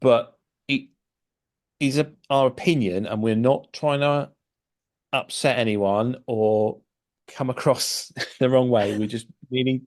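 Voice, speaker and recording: A man's voice: mid-range voice, fairly even intonation, slow. A middle-aged man hosting a casual podcast, chatty and hesitant, with many fillers and restarts. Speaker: podcast host.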